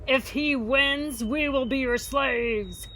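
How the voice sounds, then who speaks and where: A woman voicing a boastful male giant soldier from a children's storybook, shouting drawn-out, bullying taunts. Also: sits high, pitched above the performer's own voice, exaggerated voice, rough recording. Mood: menacing taunt.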